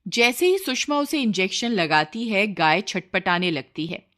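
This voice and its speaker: A woman narrates a cartoon moral tale. She reads as a scripted storyteller in a medium-pitched voice. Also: big pitch swings, unhurried, a bit bright.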